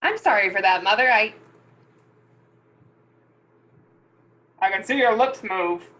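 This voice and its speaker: A woman's voice: mid-range voice, a little fast, bright, forceful tone, lightly acted. A woman voicing a petulant, menacing boy ventriloquist dummy, pleading stubbornly in a table read. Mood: mock innocent. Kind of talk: amateur script table read. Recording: home recording with noticeable background noise.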